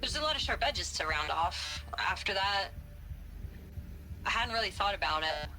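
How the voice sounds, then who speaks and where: A bright, lively, earnest programmer. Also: female voice, medium pitch, moderate pace, faint music behind, low-quality recording. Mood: curious, hesitant. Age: 30s. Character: earnest, bookish thirty-year-old woman programmer. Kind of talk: video game audio log drama.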